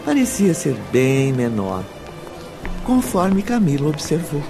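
A man narrates a scripted radio drama. His delivery is slow and literary, in a slightly raised register. Music plays clearly behind his voice. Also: fairly high pitch, big pitch swings.

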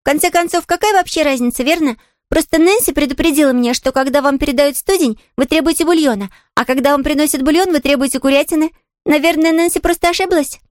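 A high, bright-spirited little girl acted by a woman reading an audiobook. She chatters eagerly and courteously, with artless good cheer. The sound is close and dry. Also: quick pace, no room sound, lightly acted. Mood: artless, chatty. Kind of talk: audiobook character voice.

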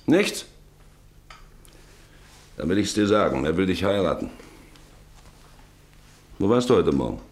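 A man's voice: low voice, big pitch swings, unhurried, pauses often. An actor in a German radio drama playing a stern, domineering middle-aged farmer father who speaks bluntly and commandingly. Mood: angry, domineering. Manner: commanding, blunt, cutting others short.

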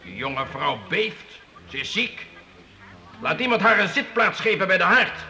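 An actor playing an imperious but fair-minded medieval count in a Dutch radio play, speaking in a stately, commanding, formal manner. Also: male voice, high-pitched, very animated, measured pace, sharp tone, big-room sound, full character voice. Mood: stern, probing. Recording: faintly noisy old radio play.

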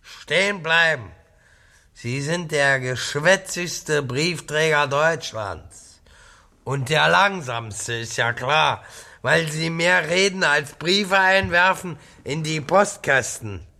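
An actor in a radio play as a drunken, cranky, coarse tenant who rambles and jeers. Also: male voice, a little high, expressive, slow pace, gravelly, pushed and bright, full character voice. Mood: jeering, provoking. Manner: blunt, coarse, jeering, swinging between barking and muttering. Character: drunken, cranky, rambling jobless male tenant, newly widowed. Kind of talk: radio drama acting.

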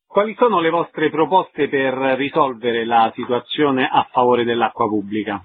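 The sound is narrow and phone-like, carrying a man's husky, medium-pitched voice. He is a radio interviewer who delivers prepared questions and sign-off lines. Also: moderate pace.

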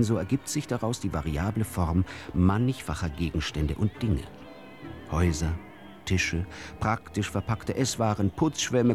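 Wry literary storyteller for musical radio drama, deep register, very varied intonation, deliberate theatrical delivery. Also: male voice, darkish tone. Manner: deliberate, theatrical, with pointed pauses. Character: wry male storyteller of absurd fairy tales. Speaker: narrator.

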